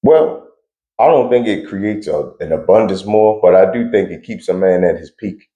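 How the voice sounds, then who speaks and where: A man's voice: low-pitched, animated, moderate pace, warm tone, echoey room, dull audio. A young man answering interview questions in a laid-back, blunt way with slang and frequent laughs. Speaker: interview guest.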